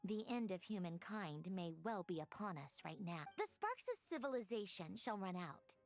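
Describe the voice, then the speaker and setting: A woman voicing a studious, analytical young scholar in a video game, precise and bookish as she thinks aloud. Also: mid-range voice. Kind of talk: animated video game voice acting.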